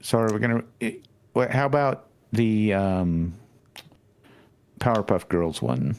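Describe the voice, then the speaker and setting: A man's voice: fairly low pitch, lively intonation, measured pace, darkish tone, clear, resonant tone. A man chatting casually with a group as a panelist. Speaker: fan fiction show panelist.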